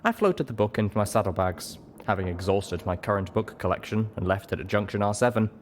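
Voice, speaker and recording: A man reading a story aloud as expressive, scripted first-person narration. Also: fairly low pitch, brisk, a little hiss or hum. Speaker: audiobook reader.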